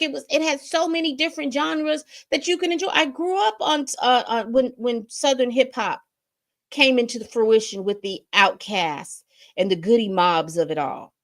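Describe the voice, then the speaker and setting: Emphatic woman in her fifties riffing. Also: sits high. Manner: emphatic, repetitive listing, frequent restarts. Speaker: commentary host. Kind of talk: casual commentary monologue.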